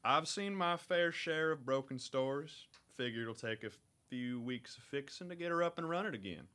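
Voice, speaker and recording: A man playing a gruff, laconic, folksy working guy in a radio comedy, drawling his terse replies. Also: medium pitch, animated, moderate pace, sharp tone. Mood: laconic, deadpan. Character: gruff, slow, laconic male loiterer and store worker. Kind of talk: student radio comedy acting.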